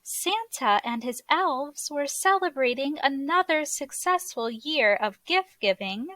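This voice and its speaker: A woman narrating a children's story aloud in an expressive, deliberate way, like a teacher reading to young listeners. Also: sits high, no echo.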